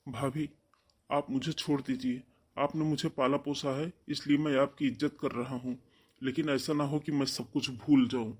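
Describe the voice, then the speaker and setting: Man acting husky, protective younger brother. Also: mid-range voice, little pitch movement, moderate pace, natural voice. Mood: defiant. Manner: earnest, firm. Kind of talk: melodramatic Hindi cartoon story dialogue.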